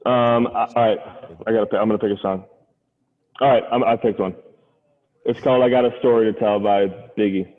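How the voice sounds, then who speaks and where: A man's voice: lowish voice, steady tone, a little fast. A man in casual, slangy, rambling podcast chat as a guest. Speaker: podcast guest, former golf caddie. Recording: phone-like, thin band-limited sound.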